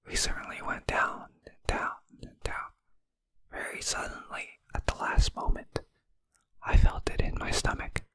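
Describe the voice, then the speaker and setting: Reader as storybook earthworm: male, gloomy, grumbling, weary, all in breathy whisper. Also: measured pace, fairly bright tone, no echo, natural voice.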